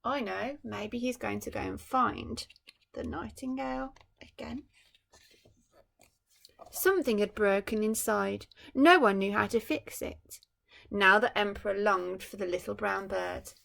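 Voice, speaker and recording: A woman teacher reading a storybook aloud to children, adding gentle, musing asides to her young listeners. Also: medium pitch, measured pace, vocal fry. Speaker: primary school teacher.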